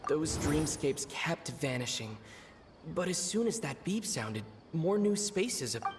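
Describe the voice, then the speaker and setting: A man voicing a pensive young traveler in an anime video game, musing in a deliberate, hesitant way. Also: on the high side, big pitch swings. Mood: pondering. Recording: long reverb, clearly noisy game audio.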